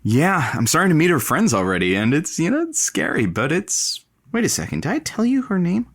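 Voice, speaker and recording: An actor playing an eager, anxious, lovestruck male radio producer, earnest and excitable, in a comedy audio drama. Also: a little high, a little fast, full character voice. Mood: giddy, nervous. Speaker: radio show producer and sidekick.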